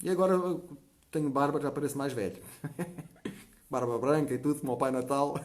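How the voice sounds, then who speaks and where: A man in his forties with a European Portuguese accent, hosting a natural health live stream and chatting through viewer comments. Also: a little high. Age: 40s. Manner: chatty, often checks in with a quick "tá?". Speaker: natural health channel host.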